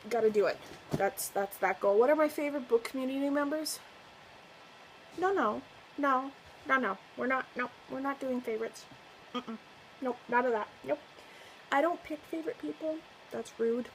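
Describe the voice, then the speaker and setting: A woman's voice: sits high, normal speed, long pauses, a little hiss or hum, low-quality recording. A woman book vlogger chatting casually to her viewers, gushing and effusive and laughing often. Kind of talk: casual chat to camera.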